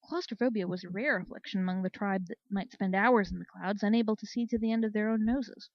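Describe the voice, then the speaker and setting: A woman's voice: mid-range voice, fast talker, dry recording. Woman reading a story, dry muffled recording. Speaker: story reader. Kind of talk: expressive audiobook-style narration of fan fiction.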